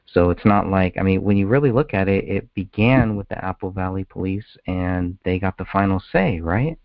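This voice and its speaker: A man talking casually in a group phone discussion, halting and often restarting his phrases. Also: low voice, creaky, dry recording. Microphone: phone conference call. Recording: muffled, tinny phone conference line.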